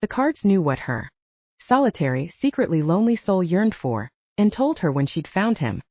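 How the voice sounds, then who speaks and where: A woman's voice: on the low side, very animated, normal speed, darkish tone, no echo, muffled recording. A woman reading a scripted audiobook story as its narrator.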